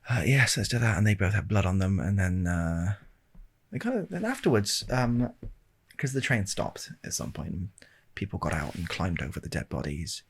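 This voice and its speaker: A British man telling a rambling comic ASMR story in a deadpan, hesitant way, with a husky, gravelly voice, close to the microphone. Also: deep voice, moderate pace. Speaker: video storyteller. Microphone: close handheld-recorder style microphone.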